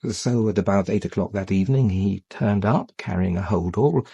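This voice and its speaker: Polite hesitant vicar in a radio play, dark soft tone, gravelly and creaky. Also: male voice, fairly low pitch, normal speed, no room sound, dull audio. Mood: subdued, explaining. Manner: polite, explanatory. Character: mild, hesitant male parish priest recalling events. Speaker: priest. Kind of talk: radio drama dialogue.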